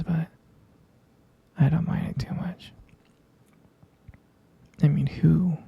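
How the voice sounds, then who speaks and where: A soft, breathy performer murmuring hesitantly and affectionately as a doting lover in an intimate ASMR romantic roleplay. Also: medium pitch, no room sound. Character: tender, doting vampire lover soothing a sweetheart.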